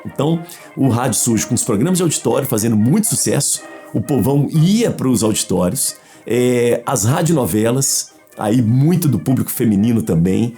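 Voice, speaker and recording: A man's voice: mid-range voice, lively intonation, moderate pace, mellow, faint music behind. A man with a Brazilian accent in casual explanatory interview talk, posing questions and answering them himself.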